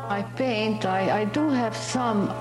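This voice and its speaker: Hungarian-accented woman, halting and reflective. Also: medium pitch, reverberant, music in the background. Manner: halting, searching for words. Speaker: painter. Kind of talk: interview monologue.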